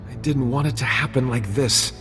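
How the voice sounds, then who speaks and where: A man's voice: mid-range voice, fairly even intonation, moderate pace, close to an everyday voice. An actor playing an idealistic male politician in a video game, formal and oratorical, earnest and dignified. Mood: solemn. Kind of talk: video game dialogue acting. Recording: long hall reverb, music behind.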